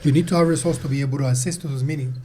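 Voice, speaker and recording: A man's voice: mid-range voice, steady tone, rapid-fire, mellow, a little hiss or hum. A man in his thirties answering conversationally as an interview guest, emphatic and repeating words for stress. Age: 30s. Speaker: podcast guest, sales team leader and entrepreneur.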